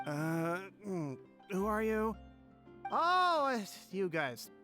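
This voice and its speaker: An actor playing a drunk, nervous man in a video game, halting and woozy, rueful and cautious. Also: high voice, sing-song, bright, forceful tone, soft background music, heavily acted. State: acting drunk.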